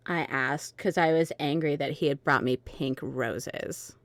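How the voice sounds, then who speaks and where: Low-voiced woman narrating slowly. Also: dry recording. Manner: informal, halting, stumbling over misspelled text. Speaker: narrator of a group dramatic reading. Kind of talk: amateur dramatic narration of fan fiction.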